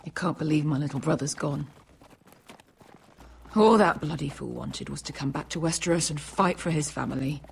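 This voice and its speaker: A woman's voice: low-pitched, moderate pace, vocal fry, a little hiss or hum. An actress playing a tough, grieving female sellsword who talks bluntly and swears freely, in a story game. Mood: grieving, bitter.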